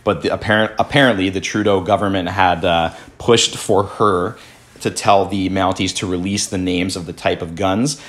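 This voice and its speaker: A man from Canada hosting a podcast, reading news articles aloud and commenting in a halting way full of fillers, his voice slightly worn. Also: deep voice, steady tone, fairly bright tone. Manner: halting, many fillers and restarts. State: losing his voice. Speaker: podcast host.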